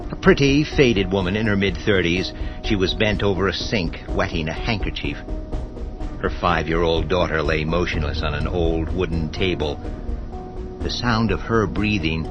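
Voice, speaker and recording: Low-voiced male horror narrator. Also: normal speed. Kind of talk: scripted dramatic horror narration. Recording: dull lo-fi audio, music behind.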